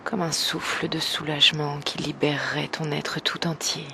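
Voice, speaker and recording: A woman guiding an evening meditation slowly and gently, in a soft, breathy voice that nears a whisper, with long pauses. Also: low-pitched, little pitch movement, background noise. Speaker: meditation guide. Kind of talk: guided evening meditation, yoga nidra.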